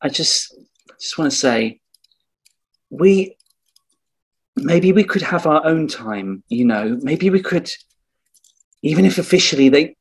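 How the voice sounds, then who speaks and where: A man's voice: a little high, animated, slow pace, long pauses, slightly rough, exaggerated voice. A man playing a wistful, sentimental sweetheart in improvised comedy, tentative and earnest. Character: wistful, sentimental sweetheart longing for private time.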